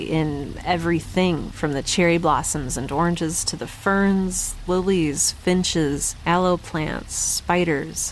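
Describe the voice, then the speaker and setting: A woman playing a guilt-ridden young scientist keeping an audio log in a halting, reflective, self-questioning way, as in an audio drama. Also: deep voice, slight background noise, rough recording. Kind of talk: audio drama monologue, introspective.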